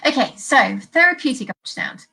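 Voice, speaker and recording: A woman's voice: high-pitched, lively intonation, brisk, gravelly, sharp tone. A woman hosting an informal educational interview, chatty, putting things in plain terms and asking questions. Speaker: host.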